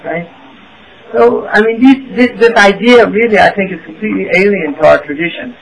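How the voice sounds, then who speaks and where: A man's voice: high voice, normal speed, gravelly, a crowd in the background, rough recording. A man giving a religious lecture to an audience, asking rhetorical questions and checking in with "right?". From United States. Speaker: Islamic teacher.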